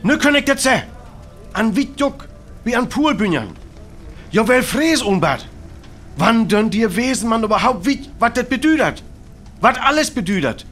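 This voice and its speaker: An actor playing an alarmed radio reporter in a North Frisian radio play, giving an eyewitness account with a North Frisian accent. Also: male voice, very high-pitched, very animated, moderate pace, long pauses, a bit bright, faint music behind, heavily acted. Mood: tense watching. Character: alarmed male radio reporter describing an unfolding alien attack.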